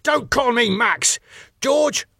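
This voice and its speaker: A man's voice: a little high, very animated, brisk, vocal fry, a bit bright, breathy edge, no room sound, clean studio sound, voice pushed up, heavily acted. A man voicing a pompous, hostile older ballroom owner who talks down to people and snaps with outrage. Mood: shouting.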